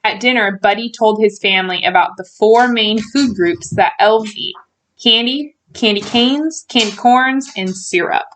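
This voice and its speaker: A woman reading a children's storybook aloud in an expressive way, stretching out key words for emphasis. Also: a little high, a little slow, low-quality recording.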